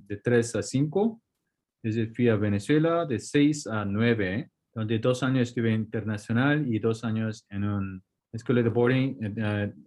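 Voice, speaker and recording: Hombre con acento coreano, hablar vacilante. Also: male voice, medium pitch, a little mellow. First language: Korean. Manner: hesitant, restarts words, mixes in English. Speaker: guest surgeon. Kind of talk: casual interview.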